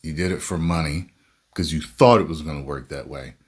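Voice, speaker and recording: Very deep-voiced man reacting casually. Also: fairly quick, breathy edge. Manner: laughs often, animated asides. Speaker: reaction host.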